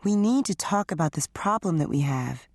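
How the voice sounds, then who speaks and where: A woman voicing an exhausted, stressed wife who speaks in a pleading, strained way, a little higher than her narration. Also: expressive, moderate pace, dry recording, clean studio sound. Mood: upset.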